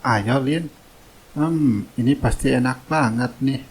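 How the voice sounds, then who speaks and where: A man playing a meek, soft-hearted labourer and husband in a cartoon drama. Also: mid-range voice, takes it slowly, slight background noise, poor audio. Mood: gentle, admiring.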